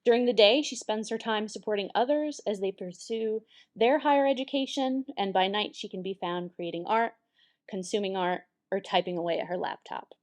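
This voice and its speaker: A woman's voice: mid-range voice, normal speed. A woman hosting a poetry slam at a public library, welcoming the audience and introducing the featured poets with upbeat energy. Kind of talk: emcee introduction to a live audience. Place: public library event room.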